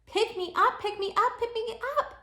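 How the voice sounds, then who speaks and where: A woman voicing an excitable, stubborn and anxious little girl in a children's storybook reading. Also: extremely high pitch, normal speed, roomy sound, voice pushed up. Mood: excited. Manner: shouty, insistent, childlike pleading. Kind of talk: children's storybook read-aloud.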